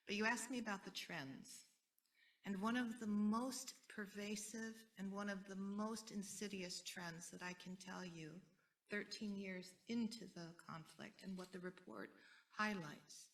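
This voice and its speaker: Earnest American woman with a gravelly voice. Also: medium pitch, fairly bright tone, distant mic. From United States. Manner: earnest, deliberate, repeats key phrases. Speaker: panelist. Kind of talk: panel talk.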